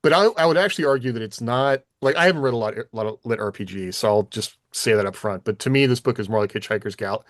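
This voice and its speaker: A male co-host speaks at a middling pitch in a dry, echo-free recording. His delivery is casual and conversational, like relaxed shop talk between colleagues. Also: quick pace, hardly any pauses. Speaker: podcast co-host and author. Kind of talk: casual chat.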